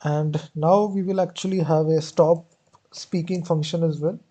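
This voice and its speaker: A man's voice: on the high side, fairly even intonation, unhurried, mellow. A man walking through code step by step in a programming tutorial, often saying "actually" and "basically". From India. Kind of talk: screen-recorded coding walkthrough.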